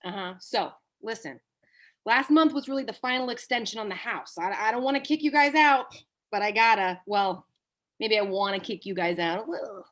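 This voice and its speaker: A woman's voice: mid-range voice, a bit bright, natural voice. A woman reading the part of a brash, foul-mouthed, washed-up male Hollywood agent at a comedy table read. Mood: awkwardly generous. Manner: blunt, profane, halting when cornered.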